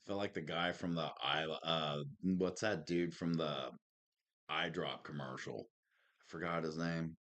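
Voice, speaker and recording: Deep smoker voice guy, real low, just chillin and chatting, slangy, says man and dude a lot. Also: steady tone, a little slow, a bit bright. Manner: slangy, laid-back, peppered with "man" and "dude". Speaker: podcast co-host. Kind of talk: casual chat.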